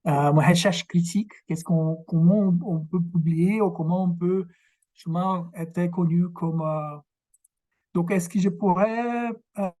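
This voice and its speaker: A man from Brazil with a Québécois French accent and Portuguese as his first language, giving a workshop lecture over a video call, halting and searching for words. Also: high-pitched, steady tone, dark tone. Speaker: guest lecturer, researcher. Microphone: video call microphone.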